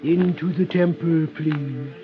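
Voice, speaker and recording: An actor playing an eerie, solemn old gentleman who greets séance guests in slow, formal 1950s radio style. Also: fairly high pitch, fairly even intonation. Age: older. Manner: formal, slow, hushed ceremony. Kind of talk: 1950s American radio drama acting. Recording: dull, noisy old radio recording.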